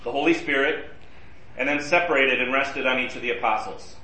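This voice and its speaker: A man's voice: medium pitch, fairly quick, sharp tone. A man teaching a Bible study class in a conversational way, asking his group questions. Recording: roomy and distant from the mic.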